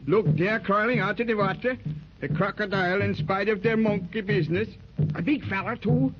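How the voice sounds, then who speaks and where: An actor playing a rough, blunt backwoods hunter who drawls in ungrammatical slang, in a 1930s radio drama. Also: male voice, sits high, normal speed, slight background noise, lightly acted. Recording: dull 1930s radio transcription, music behind.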